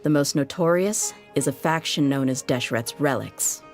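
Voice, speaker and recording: A woman's voice: low-pitched, moderate pace, darkish tone, faint music behind. An actress playing a weary, blunt desert mercenary woman in a video game, speaking in a casual, wry way. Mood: resigned, explanatory.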